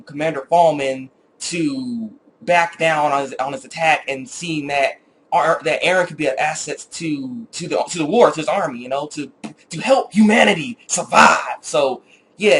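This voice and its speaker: A man casually reviewing an anime episode off the cuff, retelling scenes in an animated, rambling way and acting out quotes. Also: fairly high pitch, a little hiss or hum, low-quality recording. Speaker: anime reviewer with his own video channel.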